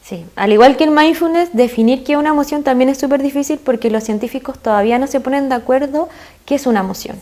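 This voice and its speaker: A woman's voice: high-pitched, quick pace, mellow. A woman with a Chilean accent, a guest expert in an interview, explaining earnestly with frequent fillers. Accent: Chilean Spanish.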